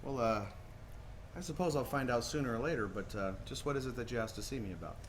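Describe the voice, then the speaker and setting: A man's voice: mid-range voice, fast, slight background noise, natural voice. A man acting an earnest, hesitant young family man in a 1940s-style radio play, stammering in a folksy way. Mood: wary, polite.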